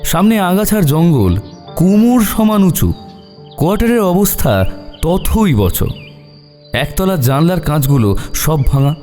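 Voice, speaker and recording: Mellow, expressive male horror narrator in reverb. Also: on the high side. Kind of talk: dramatic scripted narration. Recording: long reverb over eerie music.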